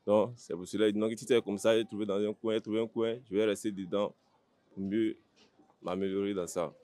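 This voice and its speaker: Low-voiced Ivorian male fighter, blunt. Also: little pitch movement, brisk, mellow, no room sound. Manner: blunt, plain-spoken, short repetitive phrases. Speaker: MMA fighter being interviewed. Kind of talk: informal interview answers.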